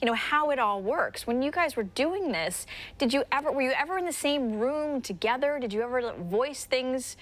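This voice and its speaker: Female morning show host, American, higher register, chatty interview style. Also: expressive, moderate pace. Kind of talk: broadcast interview chat.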